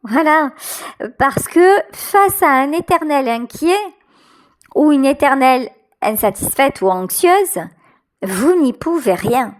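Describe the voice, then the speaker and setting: A woman giving an unscripted tarot reading directly to the listener, halting and repeating her phrases. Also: high-pitched, animated.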